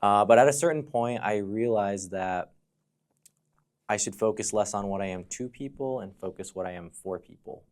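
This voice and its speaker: Deep-voiced, reflective American man. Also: moderate pace, a little mellow. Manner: reflective, explanatory, often says "you know". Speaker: podcast guest, fire dancer and entrepreneur. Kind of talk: conversational personal storytelling.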